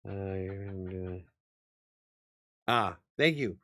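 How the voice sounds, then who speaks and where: A man with a low, slightly raspy voice talks casually as a show host. He rambles, laughs easily and swears now and then. The sound is dry and close, with little room echo. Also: slow pace, a bit bright. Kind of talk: casual chat.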